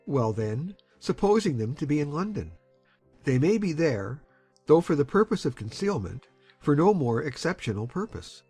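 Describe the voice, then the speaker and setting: A man acts a middle-aged gentleman uncle with a husky voice and a widely moving pitch. He reasons hopefully and deliberately, in period-novel style. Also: very animated, dry recording, soft background music, heavily acted. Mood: measured, reassuring. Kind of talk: dramatized audiobook acting of a period novel.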